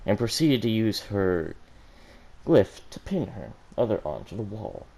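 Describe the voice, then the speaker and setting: A man's voice: on the low side, very animated, unhurried, gravelly, dark tone. A man reading a story aloud as an amateur, stumbling over words and muttering corrections to himself. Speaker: fan fiction reader on a gaming channel.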